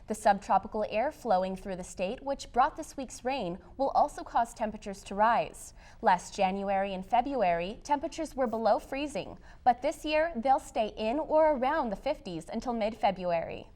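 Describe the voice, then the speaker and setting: A young woman with a somewhat high voice reads the news as a reporter and anchor. Her delivery is scripted and presentational, in the manner of a TV bulletin. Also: expressive, moderate pace, few pauses, darkish tone.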